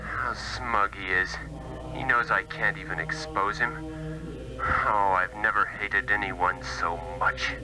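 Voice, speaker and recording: An actor playing a skeptical, earnest doctor in a radio drama, speaking dramatically in short phrases. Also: male voice, lowish voice, fairly even intonation, takes it slowly, a crowd in the background, rough recording. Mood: desperate, bitter. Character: skeptical, earnest Western male doctor turned seeker. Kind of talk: 1960s-era radio drama acting.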